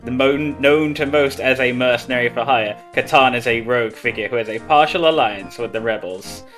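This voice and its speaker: A man's voice: mid-range voice, moderate pace, fairly bright tone. A man giving casual live commentary as he speedruns a video game. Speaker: speedrunner playing live at a gaming marathon. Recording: game music behind the voice.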